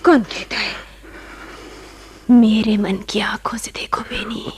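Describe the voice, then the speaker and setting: An actress playing a devoted, anxious wife in a Hindi radio play, soft, solicitous and emotive. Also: female voice, mid-range voice, fairly even intonation, slow, husky. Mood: tender, soft. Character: devoted, anxious young wife Daisy of a sick man.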